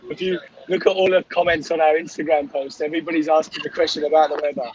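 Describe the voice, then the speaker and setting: Man, fairly high voice, a bit hoarse, casual sports fan chat, lo-fi call sound. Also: level tone, brisk. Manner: conversational, often tags phrases with "right?". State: slightly hoarse. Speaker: sports fan group founder, guest. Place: on a coach, remote call. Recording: dull lo-fi call audio.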